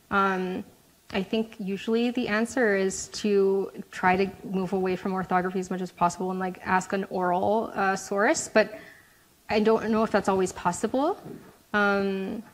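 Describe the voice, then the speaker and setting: A woman answers audience questions in a lecture room at a mid pitch. She talks loosely, often restarting and filling pauses with ums and likes. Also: low-quality recording. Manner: hesitant, many fillers and restarts. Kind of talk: casual Q&A at a talk.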